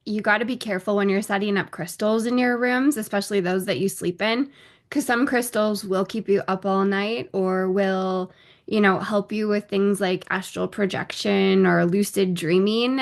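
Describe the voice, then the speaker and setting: Gravelly-voiced woman hosting a podcast. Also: medium pitch. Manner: friendly, welcoming, some fillers. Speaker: podcast host. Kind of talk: casual chat.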